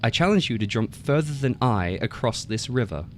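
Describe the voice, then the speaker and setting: Small smug frog king for a folktale, played by a man: bragging, pitched up, lively, some background noise. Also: moderate pace, close to an everyday voice. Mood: boastful challenge. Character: small cocky boastful male frog king.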